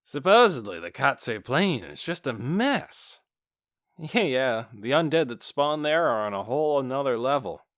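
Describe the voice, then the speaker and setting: A man's voice: mid-range voice, sing-song, moderate pace, a bit bright, no room sound, clean studio sound. A man voicing an ordinary, chatty night-watch guard in an audiobook, griping in a colloquial way. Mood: musing. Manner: colloquial, laid-back griping. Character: casual, chatty male night-watch guards, uneasy but joking. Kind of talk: audiobook character dialogue.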